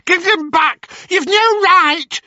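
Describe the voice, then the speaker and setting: A man voicing a frantic, greedy ancient crone, with a shrill, screeching voice pushed far above his own, slow and dull in sound. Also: bright, forceful tone, dry recording, heavily acted. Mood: shrieking.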